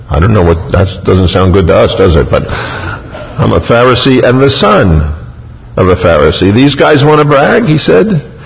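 A man preaches with a low voice, emphatic and lively as he retells scripture in the first person. His words ring out with a long reverb, as in a large sanctuary. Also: measured pace, gravelly, highs cut off. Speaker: pastor. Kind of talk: sermon.

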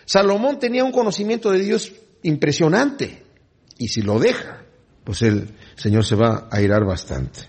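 Husky-voiced man teaching from the Bible. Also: fairly high pitch, very animated, normal speed, a little mellow. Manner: reads scripture aloud, then explains in conversational asides. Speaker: preacher.

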